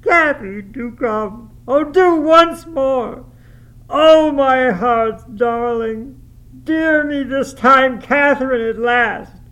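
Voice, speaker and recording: A man voicing a brooding, gruff, tormented landlord who is curt and volatile, in a dramatised novel reading. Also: medium pitch, lively intonation, normal speed, fairly bright tone, voice pushed up, poor audio. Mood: anguished sobbing. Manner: curt, commanding, scornful, emotionally volatile.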